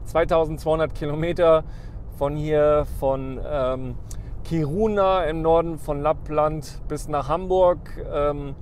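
A man's voice: a little high, steady tone, a little slow, a little mellow. A man, hosting a casual travel vlog while driving and rambling with many ums and restarts. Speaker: vlog host. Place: inside a car driving through snowy forest. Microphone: camera on the dashboard. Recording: faint car noise.

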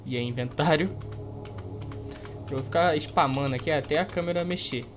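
A man's voice: a little high, moderate pace, over music. A young man with a Brazilian accent giving playful, slangy, casual gameplay commentary as a YouTuber. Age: young adult. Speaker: gaming YouTuber.

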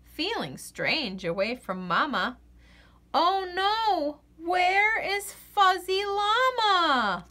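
A woman reading a children's picture book aloud in an expressive, sing-song way. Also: very high-pitched, extremely slow. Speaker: children's story reader.

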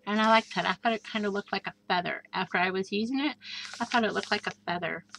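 Bright-voiced woman chatting through her craft. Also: mid-range voice, fast talker, gravelly, slight background noise, rough recording. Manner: chatty and gushing, with many fillers and soft asides. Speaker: crafter showing a handmade junk journal. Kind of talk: casual show-and-tell craft walkthrough. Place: indoors at a craft table. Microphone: overhead camera above a craft table.

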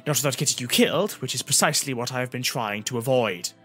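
A man's voice: medium pitch, sing-song, normal speed, a bit bright, breathy edge, faint music behind, voice pushed up. A man voicing a solemn, foreboding male seer who speaks formally and emphatically in a fantasy story. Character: grave, foreboding male time-travelling seer.

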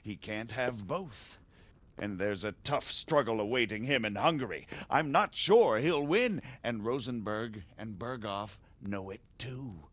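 A shrewd middle-aged margrave in a game cutscene, smooth and persuasive. His pitch moves a lot, rising sharply when he is pressed. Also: expressive, a little slow, a bit bright. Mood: scheming. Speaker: margrave, scheming noble. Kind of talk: video game cutscene dialogue.

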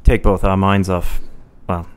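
An actor playing a haunted, nervous young Victorian husband in a radio play. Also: male voice, low-pitched, little pitch movement, a little slow, smooth. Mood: subdued.

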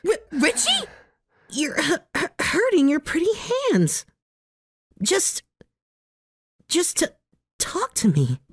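A woman voicing a gentle, devoted, nerdy young man with a heavy stutter in a comic dub. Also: sits high, huge pitch swings, slow, long pauses, no echo, clean studio sound, heavily acted. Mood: worried. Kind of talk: amateur comic dub acting.

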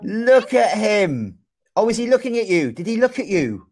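A young man from England, teaching a young child over a video call in slow, clear, encouraging speech full of exclamations. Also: sits high. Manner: slow, clear and lively, often exclaims and laughs. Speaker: online English teacher. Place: small room indoors. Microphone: video call with wired earphone mic.

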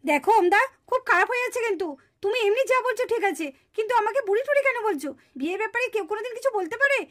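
Casting: scolding village female for puppet cartoon drama; very high pitch, quick delivery. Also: animated, a bit bright. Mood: offended. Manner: emphatic, scolding, repeats words in protest. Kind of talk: dramatized Bengali puppet-show dialogue.

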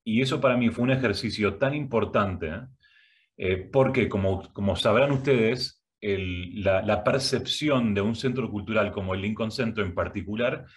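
A man's voice: on the low side, moderate pace. A middle-aged man with an Argentine Spanish accent, giving a prerecorded video talk from a home office. He hesitates often, with many filler sounds and restarts. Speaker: guest speaker, arts education director. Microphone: webcam, head and shoulders framing.

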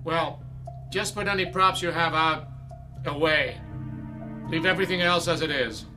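A man's voice: fairly high pitch, moderate pace, over music, lightly acted. A man acting in a radio drama as a stern, exasperated theatre director, commanding and sarcastic. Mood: weary, sarcastic. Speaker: actor.

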